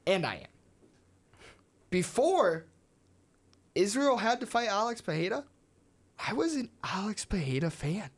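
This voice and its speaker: A woman's voice: medium pitch, sing-song, a little slow, pauses often. A woman from the United States co-hosting a sports podcast, chatty and playfully teasing her co-host. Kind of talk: casual sports podcast chat.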